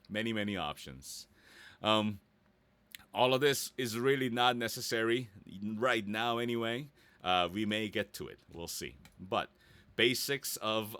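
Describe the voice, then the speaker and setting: A man giving a casual, easygoing software tutorial, thinking aloud and muttering small asides as he works. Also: lowish voice, dry recording. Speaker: voice actor giving a website-building tutorial.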